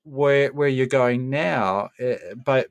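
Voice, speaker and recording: A man with a mid-range voice hosts a conversational interview. He speaks in measured short phrases, pausing between them. The recording is very dry, with no room sound. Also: medium pitch, smooth, dry recording. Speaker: podcast host.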